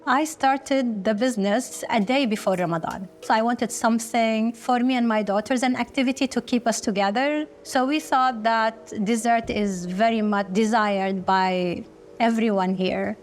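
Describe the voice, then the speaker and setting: A middle-aged woman from Kuwait, speaking slowly and earnestly with short pauses in a documentary interview. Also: fairly high pitch, a little hiss or hum. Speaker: business founder.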